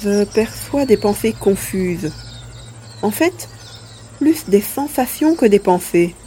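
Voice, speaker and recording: A woman playing a small, plump, furry male mercenary creature who explains things in a measured way in a science fiction audio drama. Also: mid-range voice, expressive, mellow, slight background noise. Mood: concentrated.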